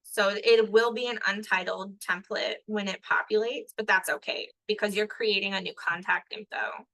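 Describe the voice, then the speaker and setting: Bright upbeat woman trainer. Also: mid-range voice, fairly even intonation, moderate pace, dry recording, rough recording. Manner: upbeat, encouraging, asks for understanding. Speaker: online trainer. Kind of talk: live workshop tutorial.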